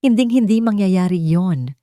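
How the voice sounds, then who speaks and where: A woman voicing a scheming daughter-in-law villainess, smooth-talking and firm, in a narrated love drama. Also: medium pitch, expressive, moderate pace, no echo, studio quality, natural voice. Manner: hushed, emphatic.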